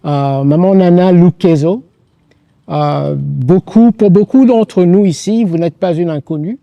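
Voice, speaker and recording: A man hosting an interview in polite, halting, formal phrasing. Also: high voice, vocal fry, no echo, dull audio. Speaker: interview host. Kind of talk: unscripted event hosting.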